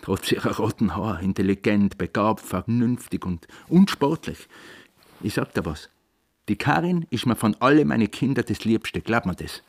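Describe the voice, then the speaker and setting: A man's voice: fairly low pitch, lively intonation, normal speed. An actor playing a stubborn, evasive middle-aged married father with an Austrian accent in a radio drama. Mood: stubborn, earnest.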